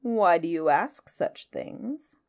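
A woman voicing a sly male crocodile in a storybook tale, quietly coaxing and menacing. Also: on the low side, animated, highs cut off. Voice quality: hushed, through the teeth at times. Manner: slow, coaxing, sinister. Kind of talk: storybook character voice.

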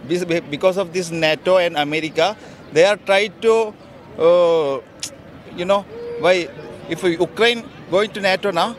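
A man's voice: high voice, measured pace. A young man with an Indian accent giving insistent, repetitive opinions in a casual street interview on an outdoor plaza. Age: young adult. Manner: insistent, repeats himself. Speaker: interviewed visitor. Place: busy outdoor exhibition plaza. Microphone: handheld reporter microphone.

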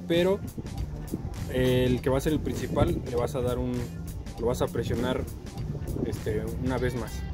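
A man with a Mexican accent giving a casual, slangy hands-on tutorial as a motorcycle vlogger. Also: medium pitch. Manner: informal, slangy. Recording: loud music behind the voice.

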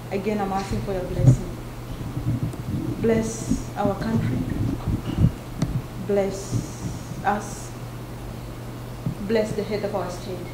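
A woman leading a group in spoken prayer, slow and solemn. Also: mid-range voice. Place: large room at a residence, group standing. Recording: echoey room with loud background hiss.

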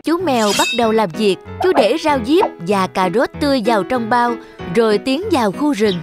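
Casting: female storybook narrator, fairly high voice, scripted children's fairy tale with music underneath. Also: animated, normal speed.

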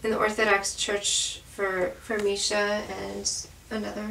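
A woman in her thirties talks reflectively in a documentary interview. Her pitch is mid and stays very flat, and she sounds a little away from the mic in an echoey room. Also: measured pace, vocal fry. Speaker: adoptive parent, interviewee. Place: room at home, indoors. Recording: echoey room, distant mic, faint noise.

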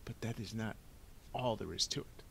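A man voicing a calm, self-assured teenage girl in a storybook, composed and matter-of-fact. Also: lowish voice, moderate pace, vocal fry, a little hiss or hum. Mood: firm.

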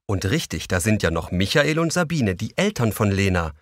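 A man with a lowish voice narrates a children's story. His melody is very lively and he addresses young listeners directly. The sound is close and dry. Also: normal speed, no room sound. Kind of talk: scripted children's audio play narration.

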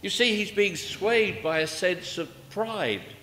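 An older man preaching a sermon in a measured, rhetorical manner, with emphatic pauses and repeated phrases. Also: high voice, lively intonation, sharp tone, slight background noise. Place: indoors, small church at a pulpit. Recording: echoey church with long reverb.

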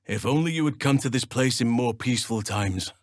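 An actor playing a gentle, solemn druid leader in a video game, speaking in a measured, formal, earnest manner. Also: male voice, medium pitch, dry recording, clean studio sound, natural voice. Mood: warm, grateful. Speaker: video game companion character. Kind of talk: video game voice acting, formal fantasy dialogue.

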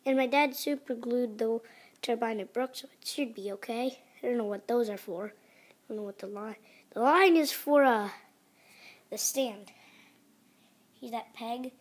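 A woman who is sick and sounds raspy, hosting a casual home review vlog indoors, talking hesitantly with many restarts into a phone held close. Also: on the high side, unhurried, long pauses. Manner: hesitant, restarts often, quiet and mumbly at times. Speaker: channel host. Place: indoor carpeted room at home.

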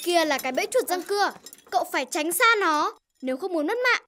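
Cocky stray cat in a cartoon, real high sharp voice, bossy n teasing, sure of itself. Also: expressive, fast, full character voice. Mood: instructing, confident. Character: cocky, street-smart young stray cat, teasing mentor. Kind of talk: animated cartoon dubbing. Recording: faint music behind the voice.

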